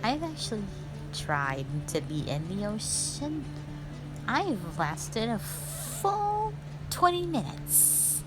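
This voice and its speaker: A woman voicing a sassy, cute, playful lamia in a roleplay audio, chatting bluntly and haltingly to the listener. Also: on the high side, very animated. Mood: friendly, excited. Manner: chatty, halting, blunt teasing with eager bursts. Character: sassy, cute female forest lamia, playful half-snake monster. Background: background music.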